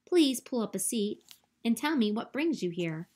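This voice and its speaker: A woman voicing a friendly, chatty female skunk who speaks in an eager, excitable, squeaky way. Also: normal speed. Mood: excited.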